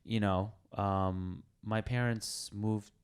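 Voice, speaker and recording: A man's voice: lowish voice, takes it slowly, clean tone. A young man hosting a podcast, telling stories in casual chat and laughing often. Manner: animated storyteller, laughs a lot. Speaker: podcast host.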